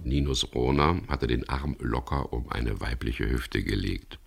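An actor playing a wry, stubborn adventurer who narrates a radio crime drama in a dry, sardonic manner. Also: male voice, rumbling low, unhurried, gravelly, slightly rough, full character voice. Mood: dry narrating. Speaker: narrator and lead character.

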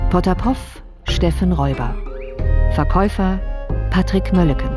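A woman announcer reading scripted radio credits in a measured, formal, list-like way. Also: fairly low pitch. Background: music.

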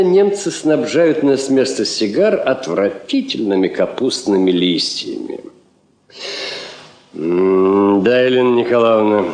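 An actor playing an elderly foreign minister in a 1960s radio drama, a wry, world-weary and cautious diplomat. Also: male voice, mid-range voice. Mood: candid, wry. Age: older. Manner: wry, aphoristic, expressive. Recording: roomy vintage drama recording.

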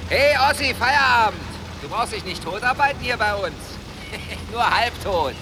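A jeering West German scrapyard workmate in a radio play. His raised, high voice calls out mockingly over clear outdoor vehicle noise. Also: animated, a little slow. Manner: loud, calling out, taunting. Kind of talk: radio drama acting. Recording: noisy outdoor ambience with vehicles.

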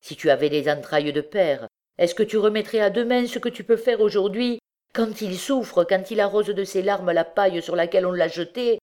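A woman's voice: deep voice, unhurried, darkish tone. A woman voicing a desperate working-class mother, horrified and fiercely defiant, in an exclamatory, pleading way. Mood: resolute, pleading.

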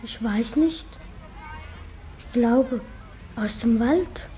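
A woman's voice: on the high side, drawn-out words, dark tone. A female performer playing a shy, earnest little schoolgirl in a German radio drama, with hesitant, childlike answers. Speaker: actor in a radio play.

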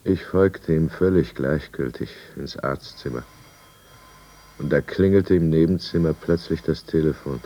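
Very deep, gravelly broken man. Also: unhurried, mellow, muffled recording, full character voice. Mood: numb despair. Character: broken, bitter middle-aged alcoholic businessman confined in asylum. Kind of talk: 1950s German radio drama, first-person narration. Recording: 1950s radio studio recording.

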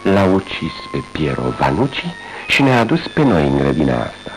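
Detective, low voice, lively intonation, measured, ironic, radio play. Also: male voice, over music, full character voice, poor audio. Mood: calm, assured. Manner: confident, measured, lightly ironic. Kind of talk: 1980s radio drama acting.

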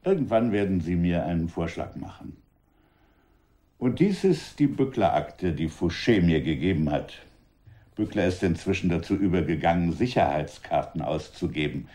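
A low, very soft-edged male voice playing a confident commissioner in a period radio drama. He is formal and assured, with dry irony in how he addresses a subordinate. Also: lively intonation, moderate pace. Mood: assured, wry. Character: wry, confident male commissioner general in revolutionary era. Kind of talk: German radio drama acting.

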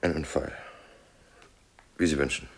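Gangster henchman, very low voice, clipped and businesslike, radio drama. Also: fairly even intonation, measured pace, breathy edge, exaggerated voice, rough recording. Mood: businesslike, grim. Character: businesslike gangster henchman reporting to his boss. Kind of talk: German radio drama acting.

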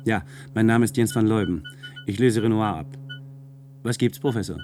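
An actor playing a brisk, businesslike communications officer in a science fiction radio play, speaking directly. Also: male voice, lowish voice, over music, natural voice. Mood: brisk, curious.

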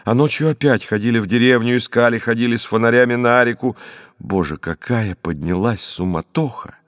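A man narrating an audiobook story expressively, with dramatic, clearly phrased scripted reading. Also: mid-range voice, a little fast, no echo, studio quality.

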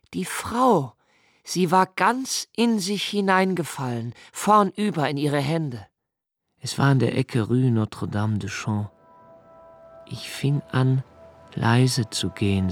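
An actor playing an anxious, brooding young writer in a literary radio drama monologue, intense and deliberate in delivery. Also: male voice, fairly low pitch, gravelly. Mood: haunted, fearful. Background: music.

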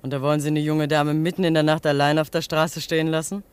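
A woman's voice: deep voice, level tone, fast talker, a little mellow, smooth, no echo, lightly acted. Actress as a coy, teasing young dockside lady. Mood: coquettish. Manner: teasing, coquettish. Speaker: actor. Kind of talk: German radio play acting.

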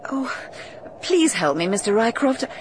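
A woman's voice: a little high, very animated, measured pace, a little hiss or hum. An actress playing an earnest, inquisitive young woman in a radio drama. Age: young adult. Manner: crisp, earnest questioning. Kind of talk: 1930s-style BBC radio drama.

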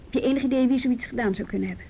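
An actress playing a brisk, persistent policewoman in a radio drama, with a probing, matter-of-fact manner. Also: on the high side, muffled recording, a little hiss or hum, close to an everyday voice. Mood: gently insistent. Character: brisk, persistent policewoman questioning colleagues and a witness.

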